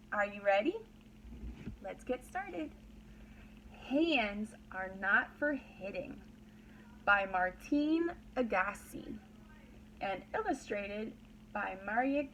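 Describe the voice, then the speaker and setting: A woman's voice: on the high side, slight background noise, low-quality recording. A woman pre-kindergarten teacher leading a read-aloud lesson for young children, using simple, repeated phrases and prompting questions.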